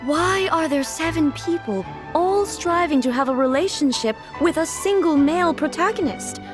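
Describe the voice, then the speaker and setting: A woman voicing a stiff, formal female divine ruler in a video game, speaking in a calm, measured, literal-minded way. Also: high-pitched. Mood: earnest. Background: game music.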